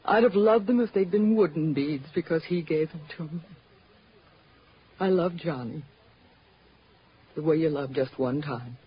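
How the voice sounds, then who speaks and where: A woman's voice: deep voice, a little slow, pauses often, a little hiss or hum. An actress playing a secretive, wistful married woman in 1940s radio drama, earnest and melodramatic. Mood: wistful, confessional. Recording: dull vintage radio recording.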